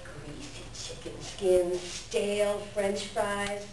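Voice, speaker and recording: Woman doing a scruffy confused coyote, folksy, slow, echoey noisy room. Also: medium pitch, recorded from a distance, lightly acted. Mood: bewildered. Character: scruffy, bewildered, laid-back scavenging coyote. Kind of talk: reader's theater performance. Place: indoor library reading, distant mic.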